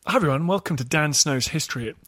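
A man's voice: a little high, expressive, fast, a bit bright, soft background music. A man hosting a history podcast, introducing a guest in a chatty way with jokey asides. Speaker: podcast host.

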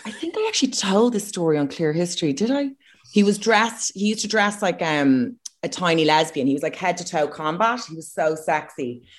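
A woman's voice: fairly low pitch, lively intonation, fairly quick. A woman with an Irish accent, co-hosting a casual comedic chat, frank and self-mocking. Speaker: podcast co-host.

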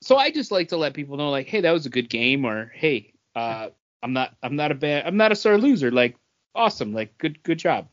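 A man's voice: fairly high pitch, big pitch swings, a little fast, muffled recording. A man co-hosting a podcast, chatting casually in an earnest, emphatic way. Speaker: podcast co-host. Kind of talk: casual chat.

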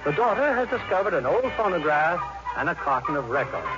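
A male announcer from 1950s radio, formal and theatrical. His high voice moves with very lively intonation. The recording is muffled, with faint music behind. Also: fairly quick. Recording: muffled vintage radio broadcast.

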